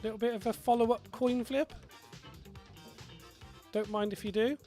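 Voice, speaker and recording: A man's voice: extremely high pitch, fairly even intonation, moderate pace, warm tone, faint music behind. A man giving casual running commentary on his casino bets, urging the wheel on. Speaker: gambling streamer.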